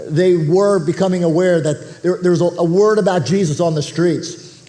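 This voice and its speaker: A man preaching a sermon as pastor, nasal from a head cold, talking in a conversational way with restarts and throat clearing. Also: a little high, warm tone. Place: church auditorium.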